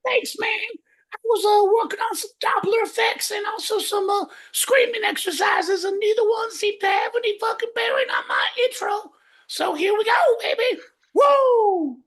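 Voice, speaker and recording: Shrieking, very high-pitched manic rocker. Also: male voice, full character voice, low-quality recording. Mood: manic, hyped. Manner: shrieking, drawn-out excited delivery with woo hollers. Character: manic over-the-top screaming rocker hype man. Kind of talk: comedy podcast character bit.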